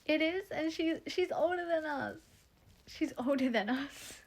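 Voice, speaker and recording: A young woman introducing the cast of her audio drama in casual chat, rambling and a little croaky from being tired. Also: sits high, measured pace. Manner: chatty, rambling, self-interrupting.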